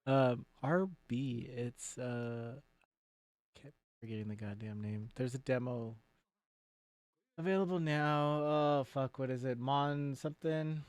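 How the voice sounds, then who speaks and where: A man's voice: mid-range voice, slow, a little mellow, clean tone, dry recording. A man giving casual, laid-back let's play commentary as he streams a video game.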